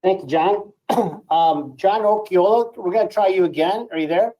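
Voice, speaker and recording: A man moderating a press call in a brisk, businesslike way. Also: sits high, warm tone, rough recording.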